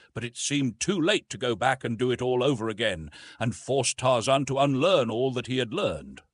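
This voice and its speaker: Male audiobook narrator, middle pitch with very lively movement, husky tone, dry close recording. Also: no echo. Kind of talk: scripted narration of an adventure novel.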